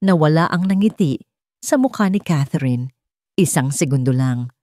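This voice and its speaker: A woman's voice: deep voice, animated, moderate pace, darkish tone, dry recording. A woman with a Filipino accent narrating a scripted dramatic story.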